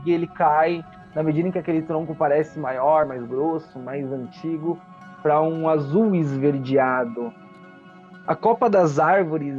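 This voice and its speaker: A man with a Brazilian accent and a slightly high voice narrates a tabletop fantasy game as its game master. He describes the scene in a conversational way. The audio is a little dull, with soft music behind him. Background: background music.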